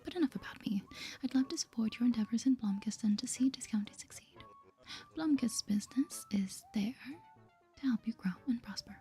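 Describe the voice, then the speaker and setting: A woman voicing a proud, flattering video game newspaperman in a grandiose but whispered manner. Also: a little high, brisk, faint music behind, lightly acted. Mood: self-important. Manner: grandiose, smooth-talking.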